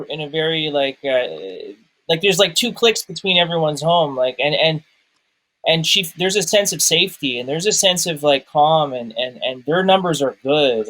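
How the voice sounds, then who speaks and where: A fairly high-pitched male voice. He talks in a casual, personal way, circling back and repeating words while he finds his phrasing. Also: fairly bright tone, rough recording. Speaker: podcast guest reviewer, documentary maker.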